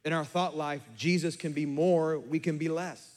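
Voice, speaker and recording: A middle-aged man preaching a sermon as pastor, his voice slightly hoarse, moving from calm teaching to fervent, emphatic preaching. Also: fairly high pitch, normal speed. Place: church sanctuary with congregation, also streamed online. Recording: long hall reverb.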